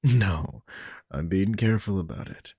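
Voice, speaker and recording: A man playing a grumpy, sardonic old vampire mentor in an intimate audio roleplay, husky and informal, prone to swearing. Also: deep voice, animated, a little slow, mellow, no echo, studio quality, exaggerated voice. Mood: defensive, sardonic.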